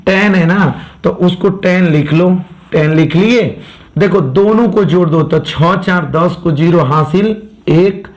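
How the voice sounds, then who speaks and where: A man teaching a math class with a hoarse voice, speaking with a tinge and coaxing students through each step. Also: sits high. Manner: coaxing, repeats steps and checks understanding.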